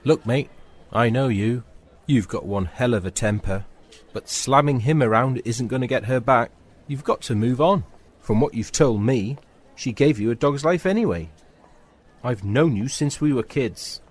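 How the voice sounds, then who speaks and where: A man's voice: medium pitch, moderate pace, slightly rough, close to an everyday voice. A man playing a loyal, laddish footballer mate in an audio drama, talking in colloquial, matey British English. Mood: earnest. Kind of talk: full-cast sci-fi audio drama.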